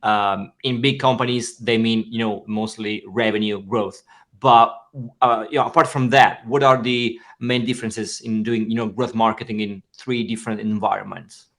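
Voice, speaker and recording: A man hosting a podcast interview, friendly and halting, with many fillers. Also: on the low side, fairly even intonation, a bit bright. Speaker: podcast host.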